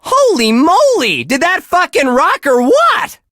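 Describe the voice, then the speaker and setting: Animated, bright-voiced cheery metal radio DJ. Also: male voice, very high voice, takes it slowly, no echo, studio quality, exaggerated voice. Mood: gushing, upbeat. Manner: gushing, sunny, wholesome delivery. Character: relentlessly cheerful male radio DJ for a death metal station. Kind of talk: upbeat radio host patter.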